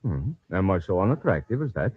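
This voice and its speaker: A bold young gallant in an old radio drama, courting with theatrical flourish. His slightly husky voice swings widely in pitch. The recording is dull and lo-fi. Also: sing-song, a little fast, no room sound, exaggerated voice. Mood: gallant, ardent.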